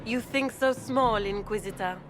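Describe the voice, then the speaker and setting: A woman's voice: fairly high pitch, a little slow, close to an everyday voice. Woman playing a smug, scheming aristocratic villainess. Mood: gloating. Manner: silky condescension, gloating. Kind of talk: dramatic fantasy game dialogue. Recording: music clearly under the voice.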